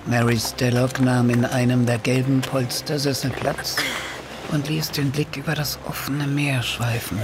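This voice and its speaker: A man's voice: mid-range voice, little pitch movement, unhurried, music in the background, natural voice. A man narrating a radio play from a script. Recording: narration over clear background ambience.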